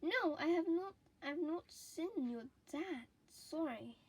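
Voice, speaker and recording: A woman plays a thoughtful young girl in a children's book, looking for her missing parents. The voice is high and earnest, and the words come slowly. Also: animated, heavily acted. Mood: apologetic.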